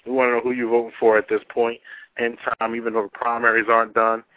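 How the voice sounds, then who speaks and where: A man's voice: medium pitch, monotone, quick pace, dry recording. A man hosting a casual call-in talk show, speaking in loose slang and repeating himself for emphasis. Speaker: talk radio host. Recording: narrow, tinny phone-line radio sound.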